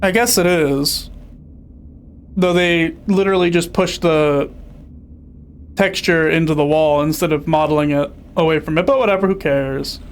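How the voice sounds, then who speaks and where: A man's voice: fairly high pitch, moderate pace, slight background noise. A young man casually commenting on a video game as he plays, chatty and sarcastic. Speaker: streamer playing a video game.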